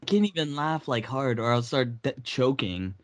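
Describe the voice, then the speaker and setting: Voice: mid-range voice, expressive, moderate pace, no echo. A person bantering casually with a group of friends. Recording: noisy mic with clicks.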